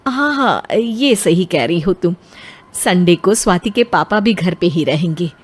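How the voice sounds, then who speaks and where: A woman voicing a conventional, persuasive mother in an animated moral story, coaxing in a motherly way. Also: fairly low pitch, unhurried, slightly rough. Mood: curious, agreeable.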